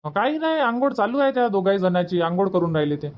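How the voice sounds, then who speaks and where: A man's voice: high voice, big pitch swings, fast. Man as an easygoing cartoon village uncle, Varhadi Marathi accent. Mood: casual. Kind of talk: cartoon comedy dubbing.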